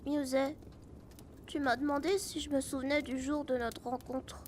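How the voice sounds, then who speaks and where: A woman voicing a small, cheeky, loyal magical animal companion in a fantasy audio drama, with a squeaky, creaky voice and a childlike, familiar manner. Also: steady tone, moderate pace, a little mellow, a little hiss or hum, close to an everyday voice. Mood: earnest, protective.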